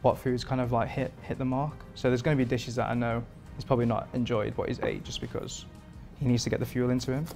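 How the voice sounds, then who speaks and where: A man's voice: medium pitch, fast, creaky, few pauses. Male chef, 30s, from England; easygoing, level delivery, lapel mic in a kitchen, light music bed. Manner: relaxed, rambling with many fillers. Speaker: performance chef. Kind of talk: interview answers. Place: modern home kitchen indoors.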